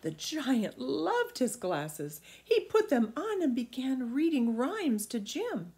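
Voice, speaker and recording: A middle-aged woman reading a picture book aloud to children in expressive narration, recorded in a room at home. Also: high voice, slow. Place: small indoor room at home.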